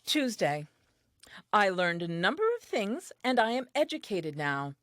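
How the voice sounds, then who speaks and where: A woman's voice: medium pitch, sing-song, a little slow, a bit bright, dry recording, studio quality. An actress playing an earnest, naive and tender first woman, telling her diary in an audio play with wide-eyed expression. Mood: proudly earnest.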